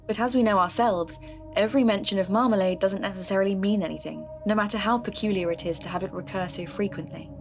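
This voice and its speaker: Low-voiced actress as curious woman investigator. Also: lightly acted. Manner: thoughtful, deliberate, intrigued. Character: curious, determined female podcast investigator narrating her case. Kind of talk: scripted podcast narration, measured and reflective.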